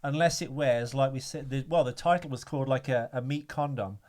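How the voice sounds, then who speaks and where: A man's voice: mid-range voice, brisk, darkish tone. A man co-hosting a podcast, chatting casually about a film, rambling with restarts and casual swearing. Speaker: podcast co-host.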